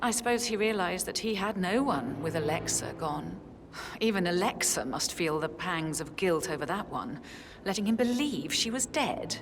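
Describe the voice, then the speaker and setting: An actress playing a cool, haughty wife in a rich family in a video game, composed, guarded and disdainful. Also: female voice, lowish voice, lively intonation, moderate pace, background noise. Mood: cool, judging. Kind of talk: video game cutscene acting. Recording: music under the voice.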